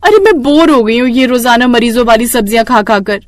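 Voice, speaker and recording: A woman's voice: fairly high pitch, brisk, no echo, lightly acted. Woman acting a scheming, grumbling young daughter-in-law. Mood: irritated. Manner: dramatic, complaining. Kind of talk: Hindi moral story voice acting.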